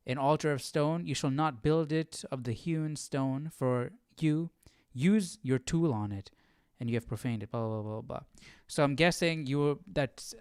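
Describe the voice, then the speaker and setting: A man hosting an informal livestream Bible study, reading aloud and thinking out loud in a musing, hesitant, self-questioning way. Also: mid-range voice, smooth, dry recording, studio quality.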